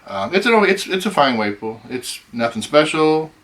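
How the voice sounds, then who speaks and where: A middle-aged man hosting a casual chat, rambling with many ums, his voice going hoarse. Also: fairly high pitch, very animated, normal speed, a bit bright. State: losing his voice. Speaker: host.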